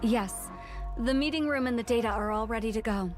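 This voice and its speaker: A woman's voice: fairly high pitch, brisk, soft background music, close to an everyday voice. A woman voicing an efficient young woman researcher in a game, explaining things in a precise way. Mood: informative. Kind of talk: game voice acting.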